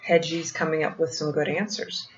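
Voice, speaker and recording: An older woman reads a picture book aloud like a grandmother, pausing for gentle explanations and questions to the child. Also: sits low, little pitch movement. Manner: gentle teacherly asides, asking the child questions. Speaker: grandmother storyteller reading to children. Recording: muffled, roomy home recording with faint noise.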